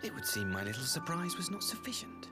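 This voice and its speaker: A man's voice: on the high side, very animated, a little fast, loud music behind the voice. A man playing a pompous, mock-polite scheming ambassador in a video game. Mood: gloating politeness. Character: smug, silkily courteous male imperial ambassador, scheming brother. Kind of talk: video game dramatic voice acting.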